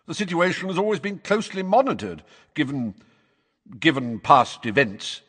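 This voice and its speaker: A man voicing a brisk, impatient female magistrate with a sharp, exacting manner, for an audiobook. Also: a little high, sing-song, breathy edge, big-room sound, voice pushed up, full character voice. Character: brisk, sharp, impatient witch on a court bench.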